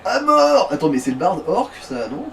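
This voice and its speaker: Higher-voiced man joking off-mic over gameplay. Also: very animated, moderate pace, creaky, fairly bright tone. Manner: crude, mocking humour. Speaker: let's play co-commentator. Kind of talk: casual joking gameplay commentary. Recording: distant mic with faint noise.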